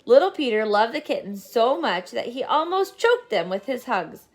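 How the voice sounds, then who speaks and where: High-voiced woman reading a children's story. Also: very animated, moderate pace. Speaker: storybook reader. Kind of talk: expressive scripted children's story narration.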